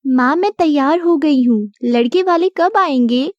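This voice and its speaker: A woman's voice: high-pitched, expressive, unhurried, no room sound. A woman voicing a sweet, obedient young daughter in a cartoon story, earnest and childlike, in slightly muffled sound. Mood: eager, obedient. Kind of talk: animated moral-story dialogue.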